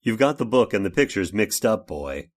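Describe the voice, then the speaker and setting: A man's voice: on the low side, sing-song, normal speed. A man playing a fussy, evasive, hesitant workshop owner recounting a crime in an old-time radio horror drama. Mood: amused, gruff.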